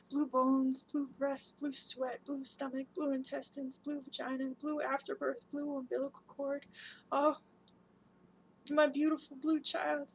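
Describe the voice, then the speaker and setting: A woman giving a dramatic spoken-word performance, chanting long repeated lists in an incantatory way and swinging between hushed whispers and loud cries. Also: high voice, slight background noise, rough recording.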